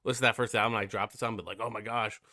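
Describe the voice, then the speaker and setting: A man's voice: on the low side, fairly even intonation, very fast, bright, forceful tone, no echo, studio quality. A young man with a stuffy, nasal voice from being sick, casually reviewing a metal album with lots of fillers. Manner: rambling, many fillers and swearing. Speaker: music reviewer.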